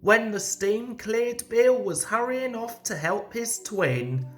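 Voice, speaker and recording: A woman narrating a scripted children's story as an expressive read-aloud. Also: fairly high pitch, slow pace. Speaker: storybook reader.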